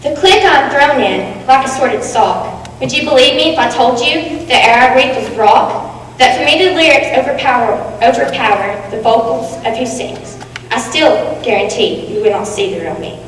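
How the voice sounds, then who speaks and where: A woman's voice: high-pitched, animated, moderate pace. A young woman, a student, reciting a poetic monologue on a stage. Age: teens to young adult. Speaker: student performer. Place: school auditorium stage. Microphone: stand mic, heard from distant camera. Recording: echoey hall, distant, faint noise.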